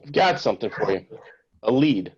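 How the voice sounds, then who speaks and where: A man's voice: on the low side, sing-song, normal speed, lo-fi sound, crowd noise. A man reading the part of a pushy talent agent at a script table read, brusque and commanding. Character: pushy fast-talking male talent agent.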